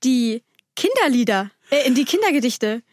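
A woman's voice: high voice, sing-song, normal speed, no room sound, clean studio sound. A young woman in her twenties co-hosting a podcast in casual chat, friendly and teasing, laughing often. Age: 20s. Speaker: podcast co-host.

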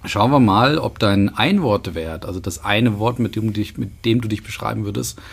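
A man's voice: lowish voice, moderate pace, vocal fry, husky. A man hosting a podcast interview who asks long, rambling, friendly questions. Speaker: podcast host. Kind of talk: conversational interview.

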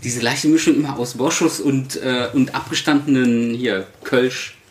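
A man in his late thirties in casual, joking banter, teasing and often halting as he speaks. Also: mid-range voice, steady tone. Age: late 30s. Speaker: podcast co-host. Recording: roomy, echoing sound.

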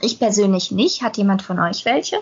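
A woman's voice: mid-range voice, moderate pace, husky, muffled recording. A woman, presenting a podcast in a conversational way. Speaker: podcast host, self-help group member.

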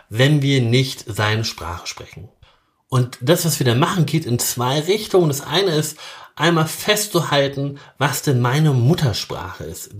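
Calm explanatory German therapist host. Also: male voice, medium pitch. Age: middle-aged. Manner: calm, explanatory, with filler sounds. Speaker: couples therapist and podcast host. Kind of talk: solo podcast monologue.

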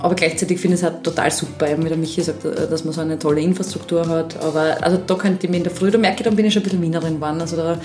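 A woman's voice: low-pitched, very fast, few pauses, faint music behind. Chatty Styrian woman in an echoey room. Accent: Styrian Austrian German. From Upper Styria, Austria. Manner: chatty, animated, many fillers, laughs easily. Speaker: podcast guest. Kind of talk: casual chat.

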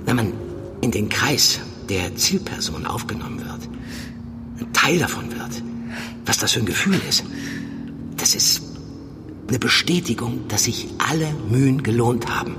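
An actor playing a middle-aged undercover police handler in an audio drama, speaking in measured, deliberate phrases as a controlled, probing mentor. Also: male voice, mid-range voice, lively intonation, over music. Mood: earnest, understanding. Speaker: actor in fiction podcast. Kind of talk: naturalistic German audio drama acting.

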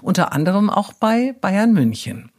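A woman hosting a podcast, introducing her guest in measured, deliberate phrasing with a husky, breathy voice. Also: medium pitch, big pitch swings, darkish tone. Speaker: podcast host. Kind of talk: introduction to a podcast interview, prepared narration.

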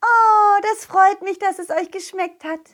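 Woman as a high, sing-song fairyland helper. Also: a little slow, a bit bright. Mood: warm, excited. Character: kind, helpful fairyland friend with magic gifts. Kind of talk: children's audio play.

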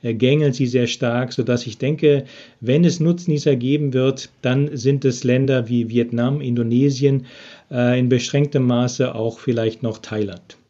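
A man's voice: mid-range voice, steady tone, unhurried, dark tone, muffled recording. A man being interviewed from abroad about business, answering with many hesitant filler sounds over a remote connection. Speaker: business expert interviewed from Japan.

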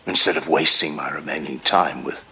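An actor playing an earnest, brooding middle-aged doctor in an audio drama, speaking in a measured, reflective way. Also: male voice, extremely low pitch, gravelly, pushed and bright, slightly rough, full character voice, low-quality recording. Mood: impassioned, brooding.

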